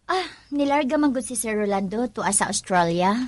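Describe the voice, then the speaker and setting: A woman's voice: medium pitch, animated, fairly quick, no echo, natural voice. A woman acting a coy, probing female character in a radio drama, with a Cebuano accent. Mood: suspicious, gossipy.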